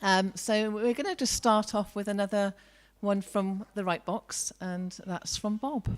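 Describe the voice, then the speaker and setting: A woman's voice: mid-range voice, steady tone, a little slow, smooth. A woman hosting a poetry evening in a cafe, upbeat and chatty with casual fillers. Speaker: event host. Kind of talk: live event hosting.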